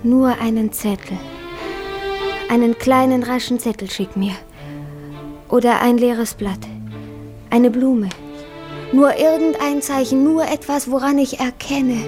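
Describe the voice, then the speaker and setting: A lovesick young invalid, her voice fairly high and unhurried. She pleads with fervent longing in radio-drama style over clearly audible violin music. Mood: desperate, imploring. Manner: slow, yearning, imploring declamation. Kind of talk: letter read as dramatic monologue in radio drama. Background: violin music behind.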